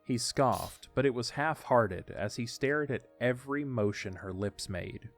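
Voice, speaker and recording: A man's voice: mid-range voice, animated, a little slow, creaky. A man reading scripted story narration as the narrator of an audio drama. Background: faint music.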